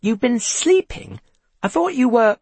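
Man playing an earnest, excitable boy sleuth, pitched up. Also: a little slow, slightly rough, no room sound. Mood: anxious, relieved. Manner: blustering, anxious, earnest.

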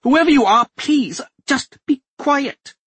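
A man's voice: very high voice, lively intonation, takes it slowly, a bit bright, no echo, pitched above the performer's own voice, exaggerated voice. A man voicing a portly middle-aged duchess who is a pushy, insistent haggler. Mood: bossy.

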